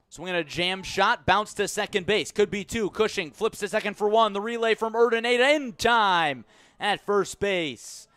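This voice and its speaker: American baseball announcer, slightly high voice. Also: male voice. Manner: measured between pitches, rising to excited calls on plays. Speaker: radio play-by-play announcer. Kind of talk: live baseball radio commentary.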